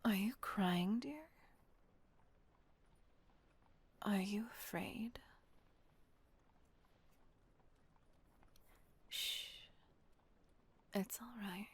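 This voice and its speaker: A woman voicing a gentle, possessive female vampire mistress in a whispered audio roleplay, slow and coaxing. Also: medium pitch. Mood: comforting. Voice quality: breathy whisper. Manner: slow, coaxing, softly reassuring.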